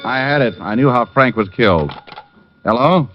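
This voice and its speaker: An actor playing a shrewd, sardonic private detective with an American accent in a hard-boiled old radio drama. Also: male voice, medium pitch, animated, normal speed, lightly acted. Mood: brooding, intent. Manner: sardonic, teasing. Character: wry, shrewd, needling male private detective. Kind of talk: hard-boiled first-person narration, 1940s-50s radio acting. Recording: muffled old radio recording with music.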